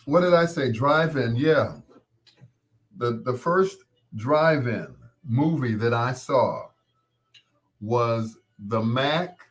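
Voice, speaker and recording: A man's voice: mid-range voice, slow pace. An American man in his sixties hosting a casual live chat, reminiscing in a rambling, conversational way and repeating points for emphasis. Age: 60s. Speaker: host.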